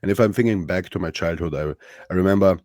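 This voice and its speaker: A man in his thirties from Romania with a Romanian accent, speaking as a podcast guest in a thoughtful, halting way with many fillers and restarts. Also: deep voice, a little mellow, no room sound, studio quality. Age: 30s. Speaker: podcast guest, strongman athlete. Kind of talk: casual interview chat.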